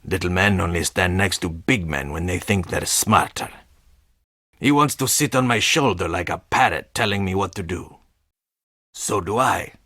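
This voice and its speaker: An actor playing a menacing, world-weary man in a video game, terse and deadpan. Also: deep voice, no room sound, studio quality, exaggerated voice. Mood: cool, menacing. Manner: terse, slow, ominous, deadpan. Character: menacing, world-weary male stagehand guarding a theatrical underworld. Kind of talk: video game character dialogue.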